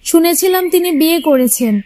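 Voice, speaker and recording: A woman voicing a sharp-tongued, bossy young housewife in a cartoon tale, pointed and expressive. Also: extremely high pitch, a little fast. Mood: disapproving. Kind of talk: Bengali cartoon fairy-tale voice acting.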